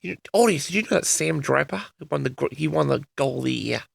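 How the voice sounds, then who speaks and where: A man with a tired, raspy voice, chatting casually and loosely to his audience with many restarts. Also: a little high, fast talker, no echo. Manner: rambling, repeats and restarts sentences. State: tired, raspy from a late night. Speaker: audio log host. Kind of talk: casual rambling chat to audience.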